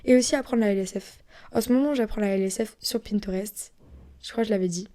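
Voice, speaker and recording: A young woman from France chatting casually and rambling on her own podcast, often dropping into soft whispery asides. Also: mid-range voice, fast talker. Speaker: podcast host. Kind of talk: casual solo podcast chat.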